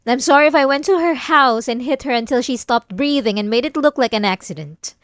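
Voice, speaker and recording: A woman playing an obsessive, possessive, delusional wife in an intimate ASMR roleplay, coaxing and wounded, with sighing pauses. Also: sits high, animated, no echo, clean studio sound. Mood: defensive, jealous.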